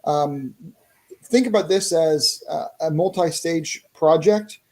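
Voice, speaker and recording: A man's voice: on the high side, slow, a little mellow. A man talking casually as a guest on a podcast, enthusiastic and explanatory, often repeating his phrases. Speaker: interview guest, indie comic creator promoting a fighting game.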